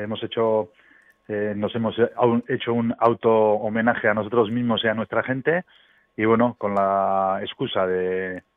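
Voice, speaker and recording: Narrow phone-sounding audio, middle aged basque guy, native basque speaker, deepish voice, musician chatting in an interview, keeps stopping to find words. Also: no echo. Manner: halting, searches for words. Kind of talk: casual interview talk. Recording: thin, phone-like band-limited line.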